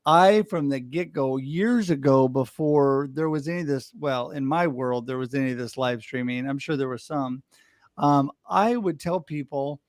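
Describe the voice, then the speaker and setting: A man hosting a livestream, chatting casually and telling stories with enthusiasm and quick laughs. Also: medium pitch, no echo, studio quality. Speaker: livestream host. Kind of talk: casual chat.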